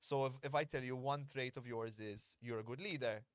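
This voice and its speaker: A man's voice: mid-range voice, fairly quick, clear, resonant tone, no echo, studio quality. A man, a podcast host and fight coach, talking frankly and at length in casual conversation and swearing freely. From Malta. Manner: blunt, earnest, explains at length, swears freely.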